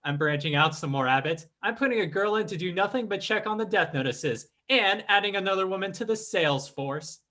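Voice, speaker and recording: Man acting an imperious, high-pitched swindler mystic. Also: lively intonation, fairly quick, hardly any pauses, bright, forceful tone. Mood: pleased, scheming. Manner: pompous, formal, commanding. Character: sly, imperious male fake psychic and crime boss. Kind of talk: old-time radio drama acting.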